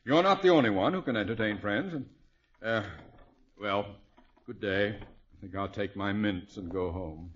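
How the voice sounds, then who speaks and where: A man's voice: fairly low pitch, normal speed, natural voice. An actor playing a proud, huffy, hesitant male neighbour in 1950s radio comedy. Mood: huffy, flustered. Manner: hesitant, stuffy hemming and hawing. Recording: dull, faintly noisy vintage radio recording.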